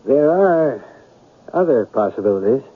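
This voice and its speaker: An actor playing an inquisitive, imaginative writer turned sleuth in a 1970s radio drama, musing in a theatrical, deliberate way. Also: male voice, medium pitch, sing-song. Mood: speculating. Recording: muffled, lo-fi old radio recording.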